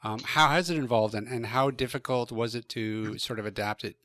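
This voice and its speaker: A man's voice: mid-range voice, normal speed, fairly bright tone. A man hosting a podcast, asking casual questions in a friendly, slightly hesitant way. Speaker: podcast host.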